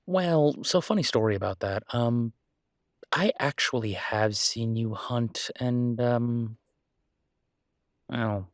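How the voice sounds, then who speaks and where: A man's voice: mid-range voice, slow, long pauses, no echo, studio quality. A man playing a devoted, reassuring human boyfriend in an intimate roleplay audio, speaking casually and hesitantly. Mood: candid, teasing. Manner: hesitant, casual, soothing, with soft chuckles. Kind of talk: roleplay audio acting, intimate one-sided conversation.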